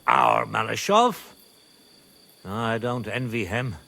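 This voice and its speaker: Medieval game nobleman and father, middle-aged, mid-pitched, pragmatic, wary, dryly wry delivery. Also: very animated, slow, creaky, sharp tone, heavily acted. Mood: skeptical. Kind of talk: video game cutscene acting.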